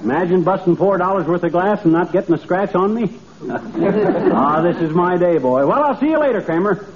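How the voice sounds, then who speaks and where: An actor playing a cheerful, gullible middle-aged braggart in a folksy, chuckling, excitable old-time radio comedy style. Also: male voice, sits high, normal speed, crowd noise. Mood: breezy, pleased. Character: boastful, cheerful, gullible middle-aged small-town man. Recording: dull, noisy old radio recording.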